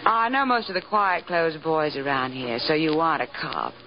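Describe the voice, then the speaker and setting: Sultry radio nightclub blonde. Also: female voice, low-pitched, normal speed. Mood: sly, flirtatious. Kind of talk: 1940s radio detective drama acting. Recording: dull old radio recording with music.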